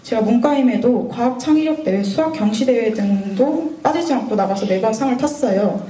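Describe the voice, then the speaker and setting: A woman's voice: fairly high pitch, animated, fairly quick, warm tone, echoey room, distant mic, rough recording. A woman in her twenties from North Gyeongsang with a sore throat, giving an earnest, lightly scripted mentoring talk to students. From North Gyeongsang, Korea. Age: 20s.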